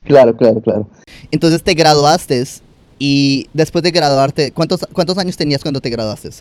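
A young man hosting a podcast, chatty and firing quick follow-up questions in casual interview talk. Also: on the high side, a little mellow, dry recording. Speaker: podcast host.

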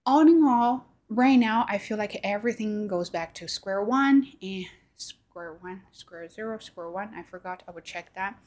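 A woman's voice: mid-range voice, animated, a little slow, darkish tone. Young woman, first language Chinese, podcast host, casual solo talk, self-correcting. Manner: reflective, thinking aloud with fillers and self-corrections.

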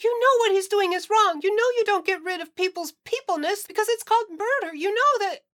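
Woman as a piercingly high, defiant little girl. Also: a little fast. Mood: outraged, pleading. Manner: yelling, defiant, earnest. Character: fierce, stubborn, outraged little girl. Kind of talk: audio fiction acting.

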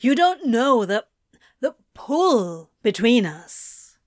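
A woman's voice: fairly high pitch, big pitch swings, takes it slowly, dry recording, studio quality. A woman voicing a flustered, defensive, foul-mouthed male supernatural being who mutters and snaps evasively in an audiobook story. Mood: anguished, retreating. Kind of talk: fan fiction audiobook character voice.

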